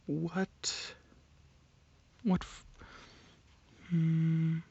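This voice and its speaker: Slow, husky, soft-spoken man in ASMR roleplay. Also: a little high, level tone, muffled recording. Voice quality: soft, breathy, slipping into whispers. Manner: hesitant, pausing, clinical questioning. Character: calm alien dragon examiner questioning a human abductee.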